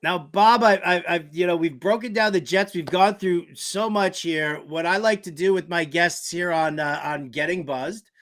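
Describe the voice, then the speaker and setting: High-pitched American male sports host. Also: moderate pace, hardly any pauses, a bit bright. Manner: chatty, informal fan talk. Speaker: host of a sports and fantasy football show. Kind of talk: casual sports chat.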